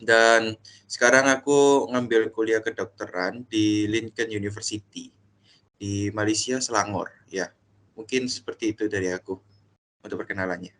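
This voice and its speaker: A man's voice: fairly low pitch, steady tone, moderate pace, fairly bright tone. A young man from East Java, a medical student guest, speaking hesitantly with many fillers in a casual interview. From East Java, Indonesia. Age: young adult.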